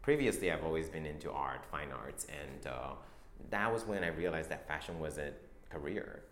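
A young man who grew up in Taiwan, a fashion designer answering interview questions in a soft, halting way with many restarts. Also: extremely low pitch, animated, slight background noise. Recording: echoey room with faint ambience.

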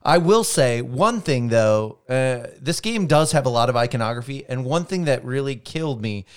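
A man co-hosting a casual board game review chat, giving relaxed, opinionated comments. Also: mid-range voice, normal speed, clean tone. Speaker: board game podcast co-host.

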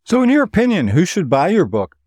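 A man's voice: sits high, huge pitch swings, brisk, darkish tone, no room sound, studio quality. A man hosting an author interview show, with polished questions and presenting.

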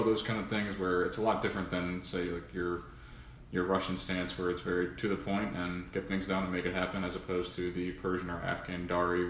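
Brief: low, monotone male co-host, hesitant phrasing, echoey room with faint hiss. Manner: searching, hesitant phrasing with restarts. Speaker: podcast co-host. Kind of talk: casual podcast interview.

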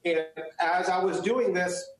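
Male interview guest, native Chinese speaker, earnest with frequent restarts, slightly high voice, very echoey room. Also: unhurried, a little hiss or hum. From United States. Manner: earnest, many restarts and fillers. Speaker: guest, photographer. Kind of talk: interview answers.

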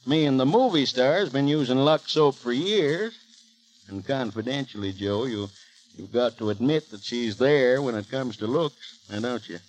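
An actor playing a folksy, chatty, obliging corner grocer in a 1930s radio advertisement, homespun and confiding. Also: male voice, mid-range voice, animated, moderate pace, natural voice. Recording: noisy old 1930s radio recording.

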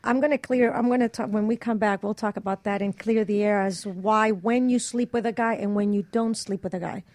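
A woman's voice: mid-range voice, steady tone, fairly quick, darkish tone, no room sound. A woman co-hosting a radio talk show with brash, blunt, casual banter. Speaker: radio co-host.

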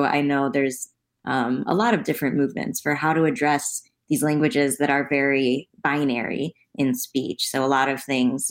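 A woman with a notably low voice, talking as an interview guest. She explains at length and thinks aloud, pausing on frequent ums as she goes. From United States. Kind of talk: conversational interview.

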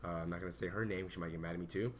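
A man's voice: low-pitched, fairly even intonation, rapid-fire, crowd noise. A man hosting a casual podcast, joking and teasing his co-hosts. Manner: loud, joking, teasing, raps and sings bits. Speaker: podcast host.

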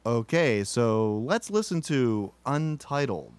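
A man's voice: medium pitch, very animated, takes it slowly, dry recording. A man hosting a comedy podcast, asking questions in a dry, matter-of-fact way. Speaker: podcast host. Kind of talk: mock interview, dry comedy.

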